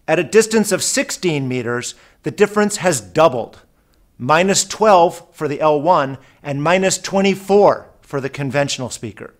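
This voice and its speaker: Male narrator, slightly high voice, measured and precise delivery for an instructional product video. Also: lively intonation. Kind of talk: scripted narration.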